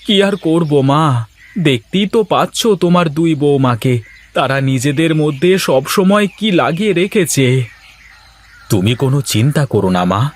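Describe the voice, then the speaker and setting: A man's voice: mid-range voice, lightly acted. A man playing a weary village husband and son in a cartoon, with an earnest, plaintive way of talking. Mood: resigned. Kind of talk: Bengali cartoon dialogue acting.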